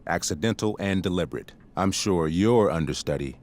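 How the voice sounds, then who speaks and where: An actor playing a stern, middle-aged high school principal with a measured, officious manner in a video game drama. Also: male voice, expressive, a little mellow. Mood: stern, disappointed.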